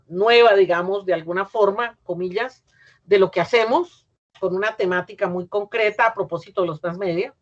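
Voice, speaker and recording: Colombian prof lady explaining stuff on a video call, medium pitch, kinda muffled lo-fi audio, lots of eh and restarts. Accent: Colombian Spanish. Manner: measured, explanatory, with frequent "eh" and self-corrections. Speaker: university professor giving a lecture. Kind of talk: academic lecture and Q&A over video call.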